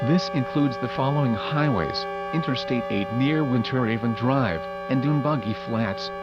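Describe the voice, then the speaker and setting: A synthetic male voice reading scripted emergency weather bulletins as an automated radio announcer, in a formal, impersonal, measured way. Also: medium pitch. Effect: weather radio broadcast. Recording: muffled noisy weather radio broadcast, humming.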